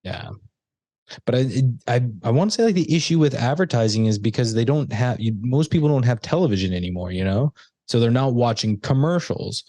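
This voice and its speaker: A man's voice: medium pitch, animated, fairly quick, mellow. A man co-hosting a casual movie review podcast, talking in a rambling, chatty way with lots of restarts. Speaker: podcast co-host.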